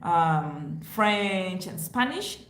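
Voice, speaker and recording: A woman's voice: lowish voice, big pitch swings, very slow, fairly bright tone. A woman whose first language is Luo, giving casual money advice in a chatty, explaining way. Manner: chatty, explains with rhetorical asides. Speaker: vlogger. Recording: roomy echo with faint hiss.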